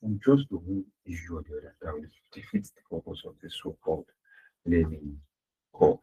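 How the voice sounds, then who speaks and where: A deep-voiced man with a husky edge teaches accounting over an online call. He repeats his points and asks the class rhetorical questions. The sound is dull and lo-fi. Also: wildly swooping pitch, brisk, warm tone. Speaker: accounting lecturer. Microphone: online class audio.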